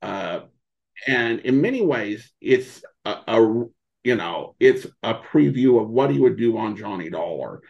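Male podcast guest, medium-pitched, conversational and halting, slightly muffled lo-fi recording. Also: creaky, a little mellow. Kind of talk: casual interview chat about old radio shows.

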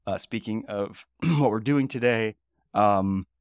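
Podcast co-host, man in his thirties: low, groggy morning voice, chatty and relaxed, dry close sound. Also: slow pace, clear, resonant tone, no echo. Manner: relaxed, rambling, chatty with fillers. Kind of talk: casual chat.

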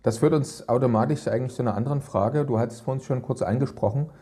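A man's voice: medium pitch, fast, warm tone, husky. A man hosting a podcast, putting long, winding questions to his guest with many fillers. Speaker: podcast host.

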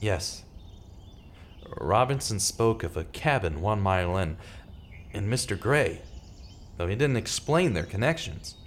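A man's voice: on the low side, expressive, a little slow, long pauses, a little hiss or hum. A man playing an earnest, desperate journalist in a radio theater drama, speaking with urgent, pleading drama. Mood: grave, shaken. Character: earnest, desperate male journalist wrongly accused of murder.

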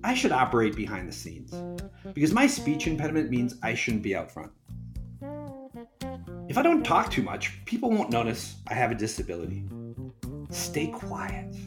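Male guest reader, animated intonation, earnest delivery, guitar music under the voice. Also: medium pitch, normal speed, long pauses. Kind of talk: reading an essay aloud.

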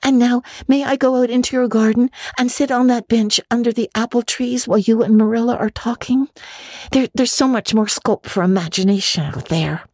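A woman's voice: a little high, moderate pace, a little mellow, no room sound, clean studio sound, voice pushed up, close to an everyday voice. A woman voicing a gushing, imaginative orphan girl with theatrical, earnest delivery in a storybook reading. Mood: hopeful, gushing. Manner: theatrical, effusive, earnest pleading. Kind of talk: dramatic audiobook reading.

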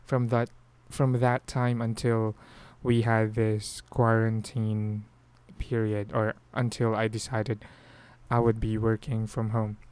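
A man's voice: mid-range voice, a little mellow, clear, resonant tone, dry recording. A Filipino man with a Filipino accent, hosting a podcast in casual solo talk, rambling with frequent restarts and fillers. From Philippines. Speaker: podcast host.

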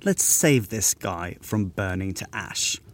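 A man's voice: low voice, expressive, slow. A man narrating a scripted comic tale in rhyme in a dramatic storytelling manner. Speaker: co-narrator and writer.

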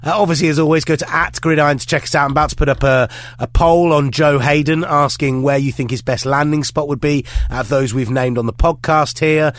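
A man's voice: on the high side, fairly even intonation, a little fast, no echo, clean studio sound. A man hosting a casual sports podcast, bunged up with a head cold and rambling through his points. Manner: rambling, with restarts and fillers. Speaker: podcast host.

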